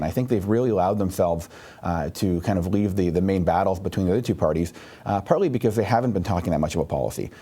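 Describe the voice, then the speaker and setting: A low-pitched man speaking very fast as he analyses the polls, hedging often and filling pauses with "uh". His tone is dark and mellow. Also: breathy edge. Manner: rapid, hedging, many fillers. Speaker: political columnist. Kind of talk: analytical interview answers.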